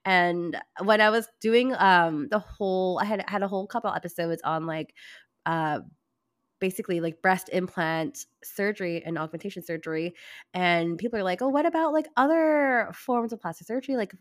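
A woman hosting a casual podcast, her voice hoarse and raspy from a cold, bubbly and gushing as she talks. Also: medium pitch, moderate pace, dry recording, studio quality. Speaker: podcast host.

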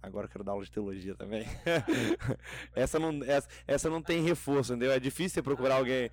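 A young man from Rio de Janeiro state with a Carioca accent, a podcast guest chatting in a relaxed, rambling way full of fillers and restarts. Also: mid-range voice, quick pace, roomy sound. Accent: Carioca Brazilian Portuguese. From Rio de Janeiro state, Brazil. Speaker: podcast guest, theology graduate. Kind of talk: casual chat.

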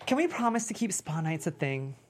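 A woman's voice: low-pitched, sing-song, a little fast, breathy edge, full character voice. A woman playing a dramatic, chubby fourteen-year-old boy who fancies himself a star, gushing in teen slang at a comedy script table read. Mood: dramatic, pleading.

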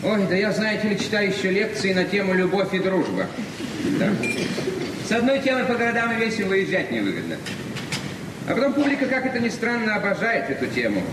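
An actor playing a chatty, self-satisfied middle-aged journalist and lecturer in a 1970s Soviet radio play. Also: male voice, sits high, big pitch swings, a little fast. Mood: glib, self-satisfied. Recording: noisy old radio recording, roomy and distant.

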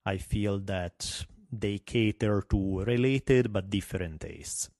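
A man's voice: on the low side, takes it slowly, darkish tone, dry recording, clean studio sound. Italian-accented man hosting a podcast. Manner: candid, self-deprecating, wry. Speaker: podcast host. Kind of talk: solo podcast monologue.

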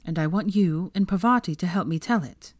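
A woman's voice: on the low side, brisk, darkish tone, dry recording, studio quality, close to an everyday voice. A woman voicing a shrewd and resolute young woman with a coolly assertive manner in a storybook. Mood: cool, firm.

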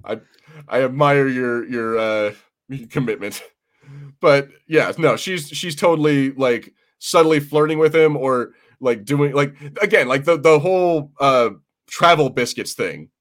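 An American man hosting a podcast in casual chat, animated and sweary, acting out imagined quotes as he goes. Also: mid-range voice, unhurried. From United States. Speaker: podcast host.

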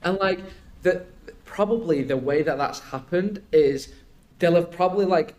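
A man's voice: high-pitched, a little slow, a little mellow. A man, talking casually on a podcast in a rambling, emphatic way, often checking in with the listener. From Iraq until age eight, then UK. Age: 20s. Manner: rambling, emphatic explaining with frequent "like" and "right?". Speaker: podcast guest.

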